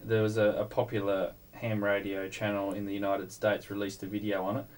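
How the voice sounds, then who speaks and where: A man's voice: on the low side, completely flat delivery, brisk. A man in a casual technical conversation, talking with fellow club members about equipment. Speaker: club member discussing radio gear.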